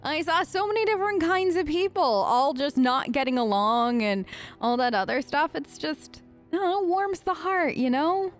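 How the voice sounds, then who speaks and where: A woman's voice: high voice, normal speed, soft background music. A woman giving casual, wry gaming commentary as a let's play host, chatting in character on a close mic.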